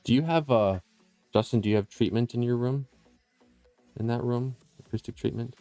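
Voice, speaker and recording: A man's voice: mid-range voice, moderate pace, dark tone, no room sound, faint music behind. A man hosting a live painting stream, chatting casually with viewers and explaining his work, often trailing off and restarting sentences. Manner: rambling, self-interrupting, explains as he works. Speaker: livestream host and digital painter. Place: small room with tile floors. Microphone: close condenser mic with processing.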